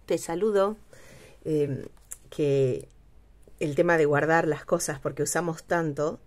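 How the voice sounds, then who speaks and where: A middle-aged woman hosts a casual craft tutorial at her home table, speaking unhurriedly with many pauses and fillers. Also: sits low, darkish tone. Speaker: craft channel host. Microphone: overhead camera, speaker off screen.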